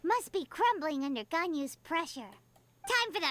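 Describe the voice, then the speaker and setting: Tiny game companion creature: squeaky, excitable, very high, bright and dry. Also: female voice, normal speed, no echo. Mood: excited. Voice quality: squeaky, childlike. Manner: excitable, cheering on. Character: tiny chirpy floating female companion creature.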